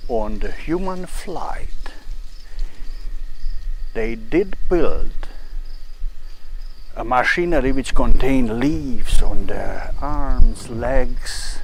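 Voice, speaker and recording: A man narrates a mock documentary slowly, with halting dramatic pauses and non-native phrasing. His pitch swings widely though the delivery stays deadpan. There is light outdoor noise with insects around the voice. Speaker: presenter of a short film.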